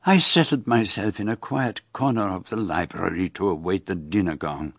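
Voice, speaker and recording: An actor playing a shrewd, courteous, self-assured foreign detective in a radio drama, with precise, formal phrasing. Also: male voice, low voice, moderate pace, fairly bright tone, breathy edge, no room sound, clean studio sound, full character voice. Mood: measured narration. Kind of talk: radio drama dialogue and narration.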